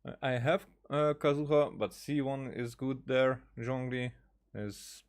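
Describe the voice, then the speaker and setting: A man streaming a game with casual commentary, thinking aloud in a halting way and repeating words. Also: mid-range voice, fairly even intonation. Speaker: gaming streamer.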